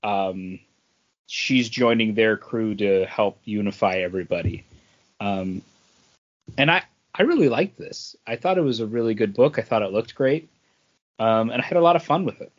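A man co-hosting a podcast, giving a casual review in a halting way and often clearing his throat. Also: fairly low pitch, lively intonation. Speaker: podcast co-host reviewing comics. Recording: dull lo-fi podcast audio.

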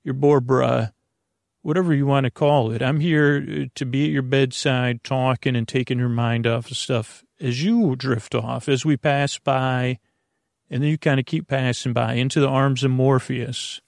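A man with a gravelly, creaky voice hosting a sleep podcast, rambling through a bedtime monologue in a meandering, hesitant way, often interrupting himself. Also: medium pitch, fairly even intonation, moderate pace, no echo, studio quality.

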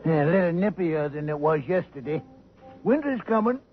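An actor playing a chatty, joking small-town library janitor in a 1950s radio drama. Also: male voice, on the high side, animated, moderate pace, soft background music, natural voice. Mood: folksy. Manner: folksy and joking. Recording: muffled vintage radio with faint hiss.

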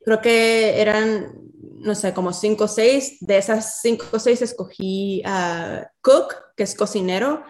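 A woman's voice: mid-range voice, a little slow. Mexican woman, thirties, interview guest, English terms slipped into Spanish, roomy echo. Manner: relaxed, mixes English terms into Spanish, hesitant fillers. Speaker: army officer guest. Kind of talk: casual interview.

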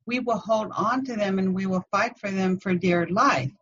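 A middle-aged woman, a guest on a podcast, chatting casually and telling lively anecdotes in which she acts out other people's voices. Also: mid-range voice, moderate pace, dull audio, a little hiss or hum. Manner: animated storyteller acting out voices in anecdotes. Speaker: podcast guest, confidence coach. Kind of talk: casual interview chat.

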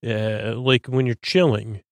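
A man with a creaky voice hosting a bedtime podcast, soothing and meandering, stammering as he drifts off into tangents. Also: mid-range voice, steady tone, takes it slowly, dry recording. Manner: soothing, lulling delivery. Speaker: podcast host. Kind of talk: rambling bedtime monologue.

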